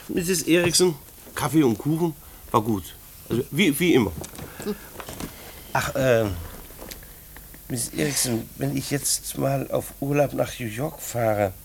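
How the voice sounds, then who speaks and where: A man's voice: medium pitch, expressive, moderate pace, long pauses, a little hiss or hum, natural voice. An actor playing a kindly, bashful rural postman in a German radio play, folksy and given to stammering, evasive phrases. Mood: kindly, flustered.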